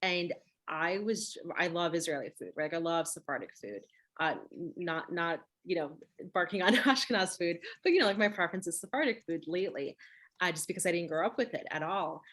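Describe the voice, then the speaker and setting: Woman, lowish voice, chatty webinar talk. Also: lively intonation, brisk, a bit bright. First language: Russian. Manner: casual, peppers speech with "right?" and "you know". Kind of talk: webinar conversation.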